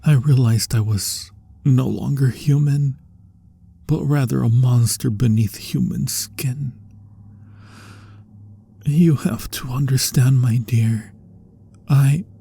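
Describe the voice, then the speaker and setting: An anguished vampire confesses to a lost love in an ASMR audio drama, played by a man. His delivery is very slow and hushed, with dramatic pauses. The voice is dark and soft with a gravelly creak. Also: medium pitch, exaggerated voice. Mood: grieving, then pleading, remorseful. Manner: hushed, breathy phrase endings, deliberate dramatic pauses.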